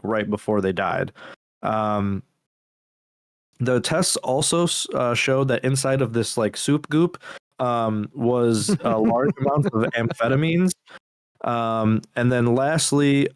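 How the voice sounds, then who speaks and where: A man co-hosting a podcast, recapping horror videos in a halting, casual way with some swearing. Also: mid-range voice, clean tone, dry recording, clean studio sound. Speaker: podcast co-host.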